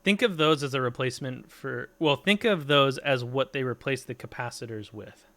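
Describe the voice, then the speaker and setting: A man with a bright, forceful voice in casual stream chat. He rambles through long explanations, full of fillers and offhand swearing. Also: medium pitch, normal speed. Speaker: streamer talking gear.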